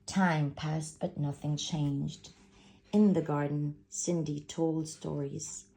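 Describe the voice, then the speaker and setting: A woman reads a storybook aloud with theatrical flair. Her voice is fairly low and mellow with plenty of creak, and she takes her time. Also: steady tone, takes it slowly. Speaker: storybook reader. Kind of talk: dramatic read-aloud storytelling.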